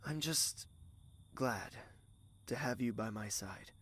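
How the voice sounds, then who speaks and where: A man playing a courteous, regal young prince in love in an audio drama, gentle and formal, with the odd French phrase. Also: mid-range voice, slow pace, slight background noise. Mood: tender, amused.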